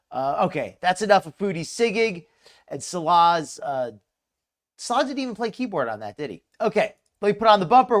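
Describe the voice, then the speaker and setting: A slightly hoarse man hosting a live reaction stream, chatty and mocking, greeting viewers in chat by name. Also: a little high, a bit bright. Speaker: commentary livestream host. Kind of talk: casual live reaction commentary.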